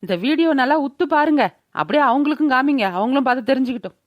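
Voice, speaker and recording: A woman plays a cheeky young village woman in a cartoon. Her voice is high and sassy, with colloquial speech. The recording is dry and slightly dull. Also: racing, dry recording, natural voice. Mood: smug, teasing. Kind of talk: Tamil village comedy cartoon dub.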